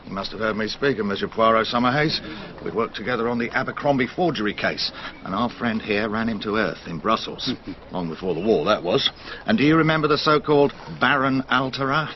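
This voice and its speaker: A man's voice: on the low side, a little fast, lo-fi sound, background noise, close to an everyday voice. A man playing a hearty, down-to-earth English detective inspector in a radio drama, bluff and colloquial. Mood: jovial.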